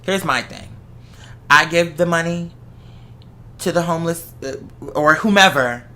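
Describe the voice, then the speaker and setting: A bright, forward voice with a middling pitch. The host talks in an opinionated, conversational way, leaning hard on points and repeating phrases to drive them home. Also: measured pace. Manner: emphatic, repeats phrases for stress.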